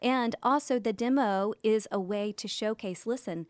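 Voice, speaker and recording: A woman's voice: a little high, normal speed, no room sound. A woman co-hosting a podcast in a conversational, emphatic and explanatory way, speaking close to a studio microphone. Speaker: podcast co-host. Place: small indoor podcast studio. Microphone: close boom-arm studio microphone.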